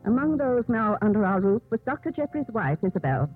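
A woman playing a calm, authoritative Mother Superior in a 1950s radio drama, with a husky voice. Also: mid-range voice, a little fast, soft background music, lightly acted. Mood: measured narration. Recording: muffled phone-like old radio broadcast.

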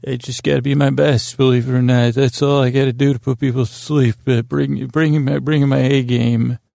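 A middle-aged man hosting a sleep podcast, rambling through a bedtime monologue in a halting, self-deprecating, dryly whimsical way with frequent pauses and unfinished tangents. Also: medium pitch, level tone, gravelly, dark tone, no room sound, studio quality.

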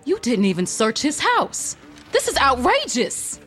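Woman acting an indignant, stressed wife, high and sharp. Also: huge pitch swings, unhurried, breathy edge, faint music behind, heavily acted. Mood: angry, indignant. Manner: sarcastic, defiant. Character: indignant, stressed married woman writer under investigation. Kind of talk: dramatized audio fiction.

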